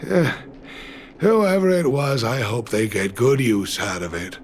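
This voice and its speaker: A man's voice: mid-range voice, expressive, slow, a little hiss or hum. An actor voicing a world-weary middle-aged miner and father in a video game, resigned and sighing. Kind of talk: dramatic video game dialogue.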